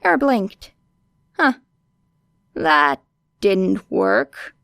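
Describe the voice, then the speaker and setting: A woman narrates fiction expressively, as for an audiobook. Her delivery is slow and deliberate, with a lot of vocal fry, in a very dry recording. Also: mid-range voice, sing-song, fairly bright tone, no room sound. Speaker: audiobook reader.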